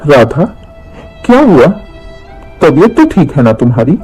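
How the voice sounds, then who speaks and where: Exasperated cartoon husband, played by a man: young, weary, pleading, high-pitched for a man, very expressive. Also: unhurried, husky, exaggerated voice. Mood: puzzled, concerned. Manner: pleading, irritated questioning. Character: tired, exasperated young husband caught in household quarrels. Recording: music under the voice.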